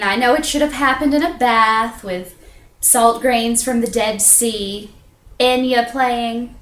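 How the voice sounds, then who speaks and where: Casting: actress as candid, self-conscious woman, stage monologue, higher pitch, weighty pauses, roomy webcam sound. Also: a bit bright. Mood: wry, embarrassed. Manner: dramatic pauses, drawn-out emphasis. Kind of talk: theatrical confessional monologue. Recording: echoey room on low-quality webcam.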